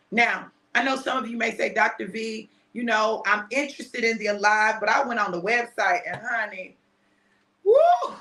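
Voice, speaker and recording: A woman in her fifties, chatty and repetitive, mixing a prophetic word with a casual sales pitch and often asking "right?". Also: a bit bright. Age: 50s. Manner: chatty, repeats phrases, asks "right?". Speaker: preacher selling a product.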